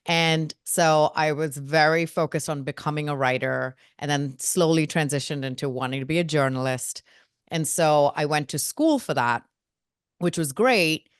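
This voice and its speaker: A woman from India, a guest on a marketing interview, telling her career story in a lively, self-mocking way. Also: sits low, moderate pace, clean tone, dry recording, studio quality. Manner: lively, self-mocking, quotes people in voices. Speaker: guest, marketing agency co-founder.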